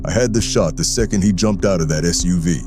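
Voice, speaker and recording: An actor playing a stern, controlled chief, narrating an audio drama in a deliberate, hard-boiled manner. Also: male voice, low voice, over music, natural voice. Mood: grim, deadly. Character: stern, armed male chief, controlled and unflinching. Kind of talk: first-person audio drama narration and dialogue.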